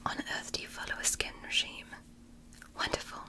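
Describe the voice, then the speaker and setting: A woman whispering as a gentle alien woman doctor in an ASMR roleplay, softly praising and reassuring her patient. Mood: soothing. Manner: soft, slow, praising and reassuring.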